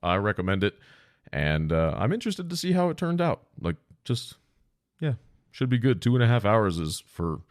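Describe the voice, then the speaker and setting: A deep-voiced man rambles through his own podcast, stuffy and congested with a slightly sore throat. The sound is close and dry with no room around it. His tone is loose and self-deprecating, and he swears now and then. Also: big pitch swings, moderate pace, no echo. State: sore throat from long talking. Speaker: podcast host. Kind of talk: rambling solo podcast talk.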